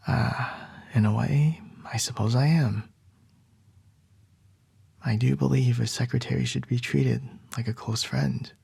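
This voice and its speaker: A man voicing a commanding male CEO boss in an ASMR roleplay, speaking in soft, measured whispers. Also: medium pitch, steady tone, gravelly, breathy edge, dry recording, heavily acted.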